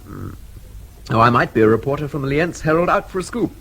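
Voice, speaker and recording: An actor playing a brash, witty, hard-drinking English agent in a radio drama, flippant and breezy, with an English accent. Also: male voice, fairly high pitch, expressive, quick pace, creaky, a bit bright, full character voice.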